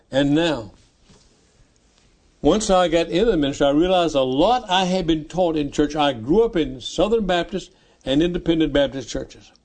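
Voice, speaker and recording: A man in his nineties preaching a sermon as pastor, repeating key phrases slowly and with emphasis. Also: fairly high pitch, darkish tone. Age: 90s.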